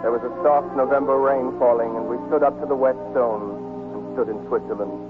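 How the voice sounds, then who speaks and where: A dull vintage radio recording with music behind it carries an actor playing a steady, devoted American man. His mid-pitched delivery is plain and understated, in 1940s radio play style. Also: fairly quick, natural voice. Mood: reflective. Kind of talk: 1940s radio drama acting and first-person narration.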